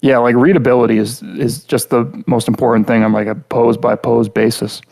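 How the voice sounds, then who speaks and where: A man's voice: medium pitch, flat delivery, a little fast, creaky, a little mellow. A man co-hosting a podcast, giving casual critique in a rambling, blunt way with lots of verbal fillers. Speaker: podcast co-host.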